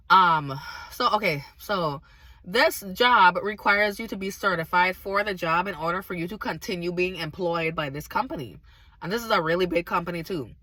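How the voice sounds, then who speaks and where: A woman with a raspy, slightly hoarse voice, recovering from being sick, talks earnestly straight to her viewers. Her tone is bright and forceful, and the sound is close and dry with no room echo. She tells her testimony in a casual, emphatic way. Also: on the low side. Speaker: vlogger sharing a faith testimony.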